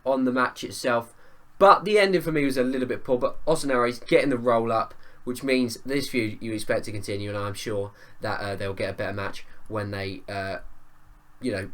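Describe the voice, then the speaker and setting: A man talks through a wrestling event in a casual review video, his voice hoarse and worn from a cold. His pitch sits in an ordinary middle range. He rambles, doubles back and offers strong opinions with eager enthusiasm. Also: fairly bright tone. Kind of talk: casual spoken review vlog.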